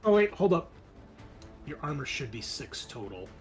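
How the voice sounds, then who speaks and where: A man's voice: a little high, very animated, moderate pace, soft background music. A man game mastering a tabletop roleplaying game, explaining rules and narrating the action in casual talk.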